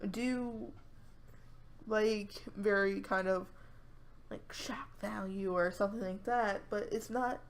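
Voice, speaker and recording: A young woman with a raspy voice, chatting casually to her viewers and rambling with lots of fillers and restarts. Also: mid-range voice. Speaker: YouTuber. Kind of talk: casual vlog chat.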